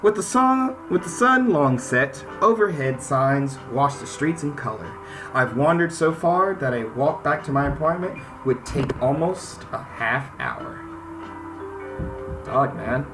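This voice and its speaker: Lively male gamer over soft music. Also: on the high side, a little slow, rough recording. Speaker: gaming video host. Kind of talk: casual let's play, reading game text aloud. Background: game soundtrack music.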